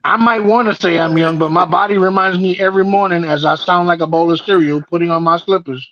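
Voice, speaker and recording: A man's voice: high voice, steady tone, fast, dull audio. A man in his forties telling stories and bantering on a panel in a joking, slangy way with some swearing. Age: 40s. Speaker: panelist on an open panel show. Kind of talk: casual storytelling banter.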